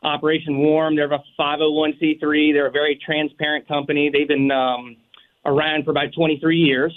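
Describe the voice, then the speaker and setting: A man's voice: a little high, flat delivery, fairly quick. A man calling in as a radio guest, explaining a program steadily with some fillers. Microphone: phone line. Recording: tinny phone line.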